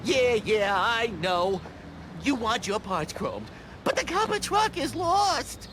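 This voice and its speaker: A harried, wheeler-dealer supplier in a video game. His voice is extremely high and swoops around at a slow pace. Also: sing-song, noisy background, exaggerated voice. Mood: exasperated, bargaining. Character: harried, deal-making male chrome-shop supplier. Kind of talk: video game cutscene acting.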